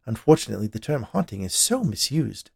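A man's voice: medium pitch, sing-song, a little fast, gravelly, husky, dry recording, clean studio sound, full character voice. A man voicing a plump, unperturbed woman spirit medium with a lofty, knowing, explanatory manner in a storybook reading. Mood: knowing, lecturing.

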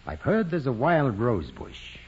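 A man's voice: mid-range voice, very animated, measured pace, a little mellow. An actor playing a stern, commanding male priest in an old radio drama, formal, imperious and sardonic. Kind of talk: 1940s radio drama acting. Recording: muffled old radio recording with hiss.

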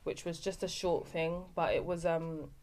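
A young woman chats as a podcast guest about her acting projects. Her voice sits a little low for a woman. She talks freely and often stops and restarts a sentence as she explains. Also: steady tone, moderate pace, darkish tone. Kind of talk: casual chat.

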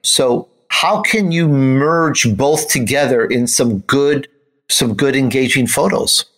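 A man's voice: medium pitch, slow, a bit bright, poor audio. A man with an American accent, speaking conversationally as the host and interviewer of a show. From United States, grew up in Chicago.

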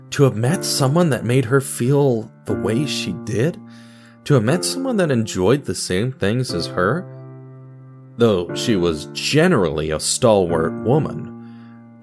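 A man with a fairly low voice narrates a scripted radio play over guitar music. His delivery is theatrical and wry, like a storyteller confiding directly in the listener. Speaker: narrator and host of an audio drama.

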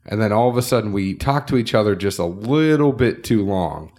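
A man's voice: fairly low pitch, animated, a little fast, darkish tone. A man with a nasal voice co-hosting a casual podcast, chatting loosely and running through sponsor plugs. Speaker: podcast co-host.

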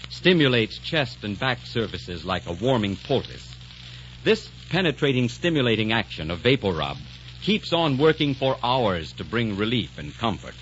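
Persuasive 1940s radio announcer man. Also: medium pitch. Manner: persuasive, emphatic. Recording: dull lo-fi 1940s radio broadcast with faint hiss.

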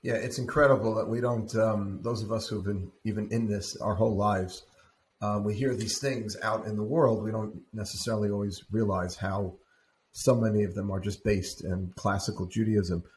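A man's voice: fairly low pitch, steady tone, moderate pace, a little mellow, roomy sound, a little hiss or hum. A man hosting a podcast interview, welcoming his guest with enthusiasm and restarting his sentences now and then. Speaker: podcast host, rabbi.

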